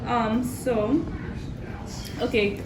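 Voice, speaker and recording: A woman's voice: fairly high pitch, fairly even intonation, drawn-out words, noisy background. A woman interviewer asking short, friendly questions, often opening with "okay" or "um".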